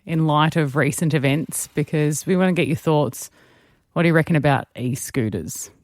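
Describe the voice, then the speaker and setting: A woman's voice: low-pitched, normal speed, a little mellow, dry recording, studio quality. A woman with an Australian accent co-hosting a radio talk show and discussing things in conversation. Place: radio studio. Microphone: close studio microphone.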